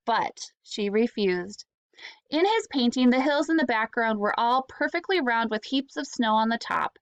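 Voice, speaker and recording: A woman's voice: on the high side, fairly bright tone, no echo. A woman, an elementary school teacher, reading a children's storybook aloud expressively, lingering on key words.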